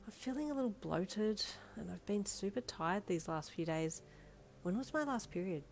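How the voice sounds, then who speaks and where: A woman's voice: medium pitch, normal speed, a little hiss or hum, lightly acted. A wry young mother, voiced by a woman storyteller. She is loving and easily embarrassed, her lines played as dialogue in an acted audio story. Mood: uneasy.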